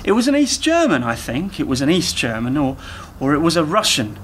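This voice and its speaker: An actor playing an eager, naive younger techie in a radio play, rambling and over-explaining earnestly. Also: male voice, a little high, huge pitch swings, brisk, a bit bright, a little hiss or hum. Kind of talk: community radio play dialogue.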